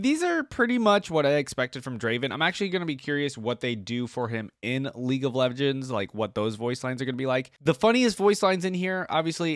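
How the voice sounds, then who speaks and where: A man's voice: mid-range voice, no echo, clean studio sound. A young man hosting a reaction video, commenting casually in an amused, informal way.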